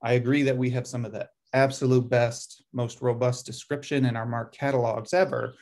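A middle-aged man presents over a video call, his voice sitting at an ordinary middle pitch. He talks in loose, thinking-aloud sentences, often correcting himself and filling gaps with um. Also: level tone, normal speed, creaky, a little mellow. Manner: thinking aloud, frequent ums and self-corrections. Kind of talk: presentation over shared slides. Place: indoor room at a desk.

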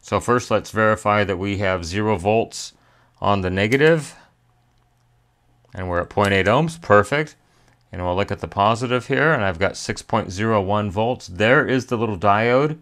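Male repair-tutorial host, lowish pitch, methodical step-by-step delivery. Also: normal speed, fairly bright tone. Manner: methodical, step-by-step, thinking aloud. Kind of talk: hands-on repair tutorial narration. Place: indoor electronics workbench.

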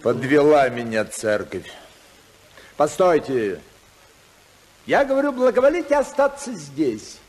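A man's voice: fairly high pitch, big pitch swings, a little mellow, low-quality recording. An actor playing a domineering, ruthless older tycoon father in 1950s radio drama, speaking in an imperious, oratorical manner. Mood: commanding, angry. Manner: imperious, oratorical, slow and emphatic.

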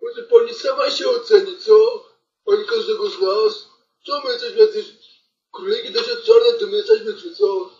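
A defiant, cheeky schoolboy voiced by a man in a homemade radio comedy. The voice is very high and gravelly, with mocking drawn-out exclamations. It sounds muffled, in an echoey room. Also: huge pitch swings, darkish tone, recorded from a distance, full character voice. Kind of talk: amateur improvised comic radio play.

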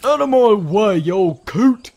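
A man voicing a husky, impatient young Old West outlaw who grumbles, in a fan comic dub. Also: high-pitched, animated, measured pace, background noise. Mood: grumbling.

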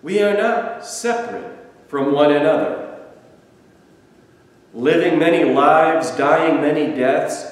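A man in his sixties, a pastor giving a measured, emphatic sermon with deliberate pauses, filmed by a camera across a small church sanctuary. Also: a little high. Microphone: far camera. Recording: echoey church from far off.

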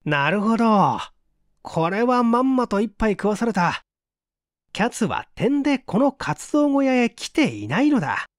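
A man's voice: high voice, sing-song, a little slow, no room sound, studio quality, voice pushed up. A man voicing a dismissive, skeptical young man in a storybook reading, scoffing and unimpressed. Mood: scornful, sure.

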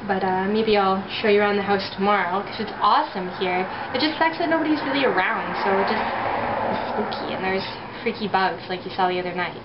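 A young woman chatting casually and rambling to her camera from her bedroom. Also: medium pitch. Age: young adult. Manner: rambling, chatty, self-conscious. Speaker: vlogger. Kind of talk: casual vlog chat to camera. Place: small bedroom at night. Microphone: built-in camera mic at arm's length. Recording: muffled old camera audio with clear background hiss.

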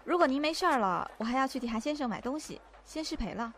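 A woman acting a composed secretary in an audio drama. Her voice is high, polite and formal, with faint ambient noise behind it. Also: expressive, fast. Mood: polite, firm. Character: polite, composed female secretary, firm and slightly amused. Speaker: voice actor in an amateur audio drama. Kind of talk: acted audio drama dialogue.

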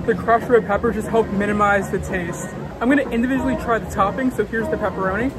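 A man's voice: high voice, steady tone, fast, loud music behind the voice, low-quality recording. A young man in a casual food-review vlog, chatty and frank, often saying "like" and "literally", filmed outdoors at a theme park. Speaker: vlogger.

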